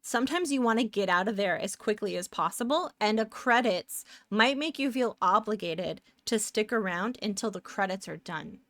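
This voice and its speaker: A woman's voice: mid-range voice, animated, normal speed, hardly any pauses, a bit bright, no echo. A young woman with a Canadian accent, chatting casually with her stream viewers, rambling and upbeat.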